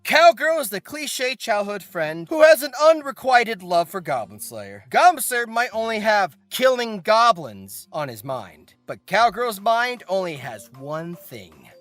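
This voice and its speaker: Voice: medium pitch, big pitch swings, unhurried, few pauses, fairly bright tone, no echo, soft background music. A host giving theatrical, comedic scripted narration about anime, with exaggerated mock outrage and playful asides. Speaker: host of anime video essay.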